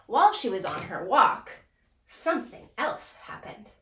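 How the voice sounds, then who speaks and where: A woman with a high, bright voice tells an interactive puppet story for children. She takes her time and teaches gently in simple phrases. The room adds noticeable echo. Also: sing-song, measured pace, a little hiss or hum. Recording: echoey room.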